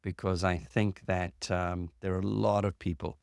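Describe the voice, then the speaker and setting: A man with a notably deep voice hosts a casual talk, explaining ideas step by step. He pauses often on fillers. The sound is dry, with no room echo. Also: level tone, vocal fry, darkish tone, smooth. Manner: measured, explanatory, with frequent fillers. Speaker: podcast host and clinician.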